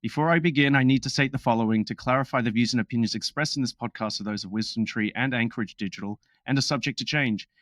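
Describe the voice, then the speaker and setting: A man hosts a podcast in an upbeat, joking tone. His voice sits in a middle pitch. The sound is dry and close, with no room echo. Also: fairly bright tone. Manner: upbeat, jokey, quick asides. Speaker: podcast host. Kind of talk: casual podcast chat with read disclaimer.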